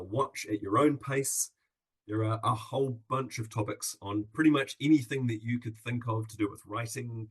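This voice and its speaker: A man's voice: medium pitch, breathy edge. A man with a New Zealand accent hosting a live video-call Q&A in an upbeat, chatty way, with plenty of fillers. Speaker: webinar host.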